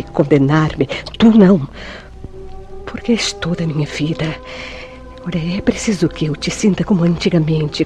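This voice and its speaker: An actress playing a possessive, remorseful femme fatale in a melodramatic radio drama, speaking with ardent, formal diction. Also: female voice, sits low, mellow. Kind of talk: old-fashioned melodramatic radio drama acting.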